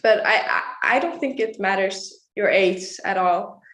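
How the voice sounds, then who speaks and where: A woman's voice: medium pitch, unhurried, a bit bright, echoey room, poor audio. An eighteen-year-old woman from Iceland, a pageant contestant answering interview questions earnestly and laughing often. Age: young adult.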